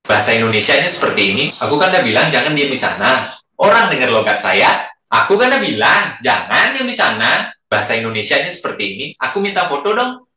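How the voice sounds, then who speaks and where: A man demonstrating different accents in a clip, lively and switching manners. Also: medium pitch, fast talker. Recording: echoey room.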